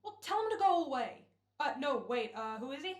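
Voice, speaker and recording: A woman's voice: high voice, very animated, brisk, reverberant. A woman playing an impatient, sarcastic male radio director in an old-time radio play, talking in brusque slang.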